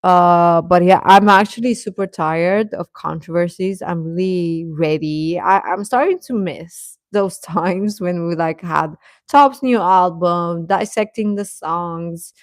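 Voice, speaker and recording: A woman hosting a casual fan livestream, rambling and halting as she replies to comments, often with a chuckle in her talk. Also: lowish voice, dry recording, clean studio sound. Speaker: host of a K-pop fan channel.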